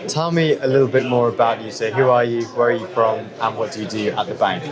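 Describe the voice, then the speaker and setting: A man of mid pitch interviews a guest with brisk, direct questions. Steady conference chatter runs behind him on the floor of a trade event. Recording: crowd noise behind.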